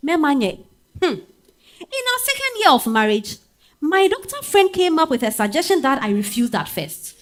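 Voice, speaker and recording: Betrayed, grieving wife played by a woman, Ghanaian accent, very animated high voice, exclamatory stage delivery. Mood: aching, lamenting. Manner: theatrical, exclamatory, with drawn-out sighs and interjections. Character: bitter, grieving betrayed wife confronting her husband. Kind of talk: dramatic monologue in a staged reading.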